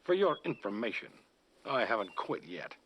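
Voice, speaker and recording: Middle-aged dad in old tv family show, patient n conversational, lively intonation, faint ambient noise. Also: male voice, lowish voice. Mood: indulgent, amused. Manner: unhurried, indulgent, conversational. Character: patient, gentle father of a young boy. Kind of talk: natural, scripted television family dialogue.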